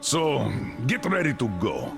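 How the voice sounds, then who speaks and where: Stern, gruff older colonel. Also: male voice, medium pitch, wildly swooping pitch, a little slow, music in the background, full character voice. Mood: angry, scolding. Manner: gruff, commanding, scolding. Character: stern older male military colonel, exasperated father. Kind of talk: dramatic video game voice acting.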